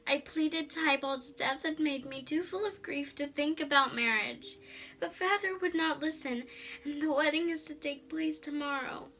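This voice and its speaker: Woman acting a desperate, high-pitched pleading girl. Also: normal speed, sharp tone, soft background music. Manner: pleading, tearful. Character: desperate grieving teenage girl pleading for help.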